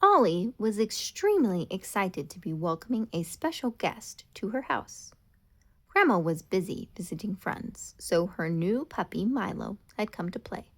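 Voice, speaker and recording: A woman narrates a children's storybook in a deliberate, expressive way. Her pitch moves around a lot at a middling height, keeping the telling animated for young listeners. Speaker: storybook reader.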